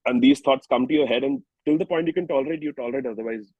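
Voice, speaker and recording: A man with an Indian accent speaking reflectively as an interviewed singer-songwriter, haltingly and often rephrasing himself. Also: medium pitch, breathy edge, dry recording, narrow, tinny audio. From New Delhi, India.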